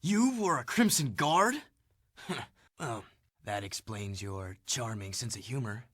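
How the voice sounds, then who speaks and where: An actor playing a tough, vengeful young rebel hero in a video game, blunt and sardonic, with a husky low voice. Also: male voice, expressive, slow, fairly bright tone, no echo, clean studio sound. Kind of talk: video game cutscene acting.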